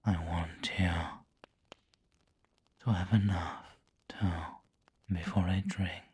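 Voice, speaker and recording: A man playing a doting, refined vampire lord in an ASMR roleplay, speaking intimately in a breathy whisper with formal wording. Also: low voice, steady tone, takes it slowly, darkish tone, full character voice. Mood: seductive, tender.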